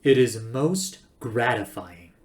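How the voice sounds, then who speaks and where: A man acts out an ancient recorded announcer in a sci-fi storybook. The voice is old, hollow and reedy, speaking slowly with courteous formality and quiet menace. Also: mid-range voice, close to an everyday voice. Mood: cold. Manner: slow, formal, coldly polite.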